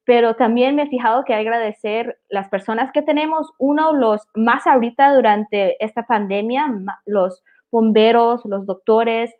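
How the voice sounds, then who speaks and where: A tinny, phone-like recording of a woman with a fairly high voice. She hosts a casual, chatty interview and slips English words into her Spanish. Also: moderate pace, few pauses. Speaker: host interviewing a guest. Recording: tinny, phone-like call audio.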